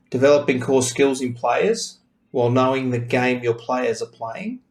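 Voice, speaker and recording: Man hosting a rugby coaching podcast. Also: mid-range voice. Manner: steady read intro, then easygoing questions. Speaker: podcast host. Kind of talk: scripted podcast intro and casual interview.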